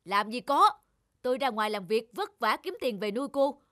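A woman's voice: fairly high pitch, lively intonation, moderate pace, sharp tone, no room sound, clean studio sound. A woman voicing a defensive, blustering young husband in a cartoon, indignant and dismissive.

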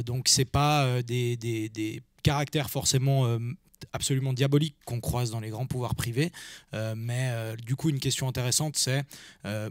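A man's voice: medium pitch, no room sound, clean studio sound. Reflective young man on a panel. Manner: reflective, many hesitations. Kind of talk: panel discussion. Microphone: handheld mic.

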